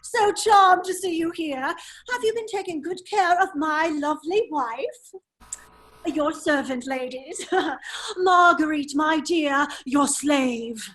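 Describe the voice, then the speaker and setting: Woman playing a very high-voiced, languid English fop. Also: slow pace, a bit bright. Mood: foppish, teasing. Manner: affected, languid, drawling, exclaiming. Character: foppish, witty English gentleman with a secret life.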